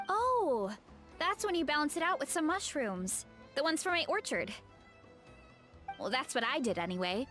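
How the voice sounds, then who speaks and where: A bashful young champion eater. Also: female voice, sits high, sing-song, normal speed, pauses often, a bit bright, faint music behind. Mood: helpful. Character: modest young woman, big-eating contest champion. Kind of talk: game cutscene voice acting.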